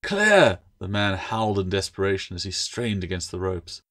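A man's voice: low voice, level tone, fairly quick, fairly bright tone. A man reading his own fantasy novel as a scripted audiobook, with dramatic storytelling delivery.

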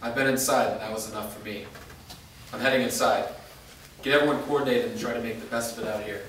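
A man reading a brisk, decisive leader. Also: mid-range voice, steady tone, fairly bright tone, close to an everyday voice. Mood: determined. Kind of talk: staged script reading. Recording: echoey room from far off, background noise.

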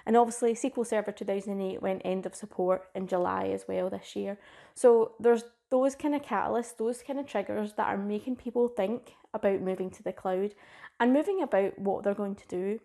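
A woman's voice: mid-range voice, dark tone. Scottish woman in her thirties for an upbeat, informal tech session, recorded on a desk webcam. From Scotland. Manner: enthusiastic, chatty and informal. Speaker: cloud advocate presenting a conference session. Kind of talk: presented tech talk. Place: small indoor room with a plain wall.

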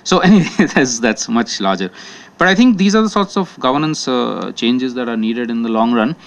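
A man's voice: medium pitch, animated, normal speed, creaky. A man from India, speaking as a panelist and making his points thoughtfully with many fillers and restarts. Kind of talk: panel discussion remarks. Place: conference hall.

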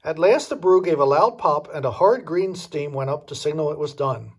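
An older man reads a children's story aloud with a very animated delivery. His voice is husky and sits fairly high for a man, like a grandfather at storytime. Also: normal speed.

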